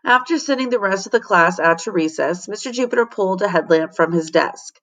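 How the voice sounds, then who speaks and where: A woman's voice: mid-range voice, expressive, brisk, a bit bright. A woman reading a children's story expressively, as a teacher does for students. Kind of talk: expressive storybook read-aloud.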